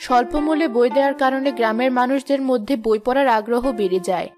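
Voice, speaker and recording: A woman's voice: sits high, fast talker, music in the background. A woman reading scripted narration for a children's cartoon story.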